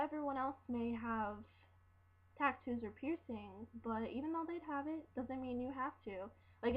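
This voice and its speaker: A seventeen-year-old girl ranting casually to camera at home, rambling and halting with lots of fillers and restarts. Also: a little high. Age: teens. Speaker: vlogger. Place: room at home. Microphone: built-in laptop mic. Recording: dull lo-fi laptop audio.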